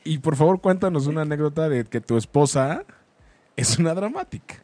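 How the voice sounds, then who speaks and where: A man's voice: on the high side, animated, a little fast, mellow, a little hiss or hum. A man with a Mexican accent co-hosting a casual live talk show, teasing and pressing his point by repeating himself. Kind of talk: casual bantering live debate.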